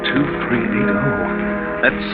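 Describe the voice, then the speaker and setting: Anxious professional man cracking under nerves, agitated 1940s radio thriller delivery, loud music, muffled. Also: high voice, animated. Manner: agitated, halting, melodramatic. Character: Ambrose Brent, frightened, nerve-racked male airplane plant official. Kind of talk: 1940s radio drama acting.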